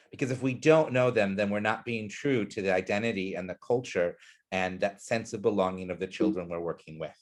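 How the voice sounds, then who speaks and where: A man presenting an education webinar, explaining ideas earnestly and putting questions to the audience. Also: mid-range voice.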